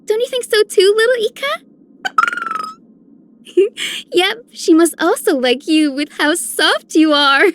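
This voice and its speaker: Sweet, high-voiced gentle healer. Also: female voice, animated, takes it slowly, pauses often, noisy background, exaggerated voice. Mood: sweet, cheerful. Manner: soft, sweet, earnest. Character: gentle, tender young female game healer. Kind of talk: video game character voice lines.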